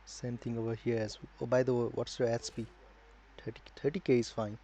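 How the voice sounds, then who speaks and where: A male live streamer with a Nepali accent and a dark, mellow, mid-pitched voice. He gives casual gaming commentary in a halting way, thinking aloud as he talks to viewers. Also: little pitch movement.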